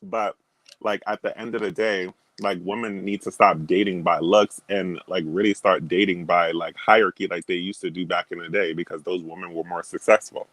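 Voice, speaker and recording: Man, guest, very low voice, dry close sound, firm opinions, lots of "um" and "like". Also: flat delivery, normal speed, fairly bright tone, no echo, low-quality recording. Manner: firm opinions, many fillers, laughs at himself. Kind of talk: casual opinionated chat.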